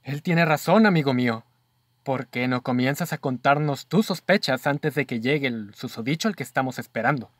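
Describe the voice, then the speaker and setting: A man's voice: medium pitch, normal speed, no room sound, lightly acted. A Mexican man acting in a student radio drama as a detective's inquisitive companion, polite and earnest in his questioning. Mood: curious, thoughtful.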